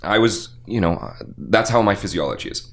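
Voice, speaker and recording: A young man with a deep bass-baritone voice, telling stories animatedly as a guest in a casual podcast interview. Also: moderate pace, gravelly. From Canada. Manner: animated storyteller, acts out quotes. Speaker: voice actor guest.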